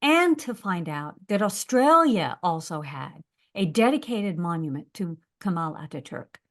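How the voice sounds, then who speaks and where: An American woman with a somewhat low voice speaks in a webinar over a video call. She talks hesitantly, pausing often on filler words. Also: very animated. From United States. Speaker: university lecturer. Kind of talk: webinar talk.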